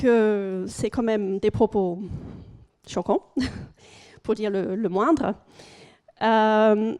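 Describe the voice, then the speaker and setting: A woman with an English-speaking accent giving a conference presentation in French as a second language, pausing often with fillers and restarting phrases. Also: medium pitch, dark tone. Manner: hesitant, frequent fillers and restarts.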